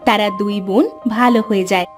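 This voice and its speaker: Woman reading out a kids moral story as narrator, soft music under it. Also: mid-range voice, fairly even intonation, normal speed. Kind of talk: scripted children's story narration.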